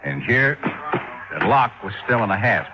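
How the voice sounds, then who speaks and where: An actor playing a gruff, methodical middle-aged fire chief, explaining things insistently in vintage radio drama style. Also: male voice, lowish voice, sing-song, measured pace. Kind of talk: 1950s-60s radio acting. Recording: muffled, noisy vintage radio recording.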